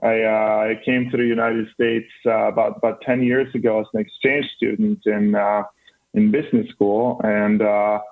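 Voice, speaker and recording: A man's voice: fairly low pitch, little pitch movement, normal speed. A man in his thirties from Norway with a faint Norwegian accent, a startup founder answering interview questions earnestly, with many restarts. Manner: earnest, with frequent restarts and fillers. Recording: band-limited phone line.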